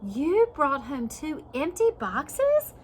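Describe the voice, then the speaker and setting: A woman voicing an excitable storybook mother who speaks in emphatic exclamations. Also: high voice, slow, a little hiss or hum, voice pushed up, heavily acted. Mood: indignant.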